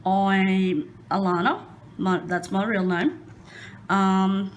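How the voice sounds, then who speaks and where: Woman, Australian accent, nervous, rambling, lots of ums, slow speech, faint hiss, casual craft chat. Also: medium pitch, fairly even intonation. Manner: nervous, rambling, self-deprecating, many ums and laughs. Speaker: crafting vlogger. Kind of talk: nervous casual chat while diamond painting. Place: indoors at a craft table.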